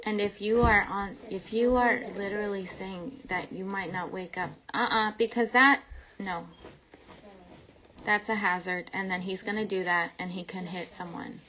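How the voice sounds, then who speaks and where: A woman's voice: mid-range voice, steady tone, normal speed, pushed and bright. A woman in a heated domestic argument, upset and worried, speaking firmly and insistently. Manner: insistent, firm. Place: indoors at home. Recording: muffled home recording, voices around.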